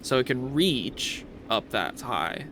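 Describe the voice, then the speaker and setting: A man acts as game master, talking through rules and describing scenes off the cuff. His voice sits at an ordinary middle pitch. There is faint noise behind him. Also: big pitch swings, slow pace. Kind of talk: improvised tabletop game narration and rulings.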